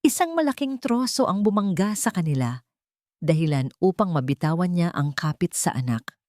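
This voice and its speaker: A woman's voice: fairly low pitch, lively intonation, moderate pace, mellow, dry recording, studio quality. A woman narrating a dramatic scripted love story in a solemn, melodramatic manner.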